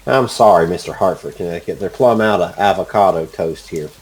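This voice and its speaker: A man's voice: low-pitched, fairly quick, close to an everyday voice. A man playing a teasing, mock-polite captain.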